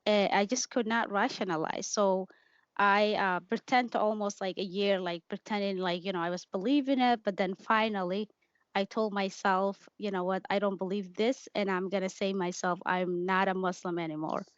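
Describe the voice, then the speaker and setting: A woman from Somalia, a native Somali speaker, recounting her own story in an interview. She talks in halting bursts, restarting her sentences and filling pauses. The sound is dry and a little muffled. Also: medium pitch, steady tone, clear, resonant tone, no room sound. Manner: hesitant, many fillers and restarts, laughs easily. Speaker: interview guest. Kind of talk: candid personal interview.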